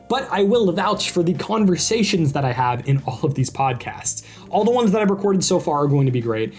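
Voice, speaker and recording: A man's voice: medium pitch, a little mellow. A man speaking as a podcast host, introducing things to listeners in an informal, upbeat and enthusiastic way.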